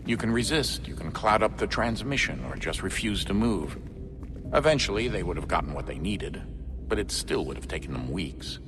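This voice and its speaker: An actor playing a steady middle-aged father and veteran of a secret order in a video game, measured and matter-of-fact. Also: low voice. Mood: serious, reflective. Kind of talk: video game cutscene acting.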